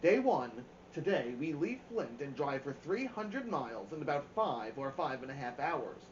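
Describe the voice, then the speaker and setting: A man voicing a brisk, organized mother who announces road-trip plans with emphasis in a storybook. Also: fairly high pitch, sing-song. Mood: organized, upbeat. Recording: roomy, dull, faint background noise.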